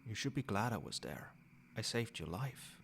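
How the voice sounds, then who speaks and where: A man playing a centuries-old vampire sire in an ASMR roleplay, a soothing, possessive caretaker who speaks softly in measured, formal phrases. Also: on the low side, sing-song, vocal fry, a little hiss or hum, exaggerated voice. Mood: urgent, reassuring.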